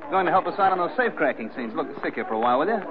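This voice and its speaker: An actor playing a brisk, bossy film director in an old-time radio drama, commanding and impatient. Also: male voice, fairly high pitch, big pitch swings, crowd noise. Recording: tinny vintage radio, bustling background.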